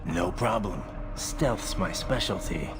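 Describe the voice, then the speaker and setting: An actor playing a confident, wry cyborg mercenary in a video game. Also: male voice, sits low, lively intonation, takes it slowly. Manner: cool, wry. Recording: game music behind.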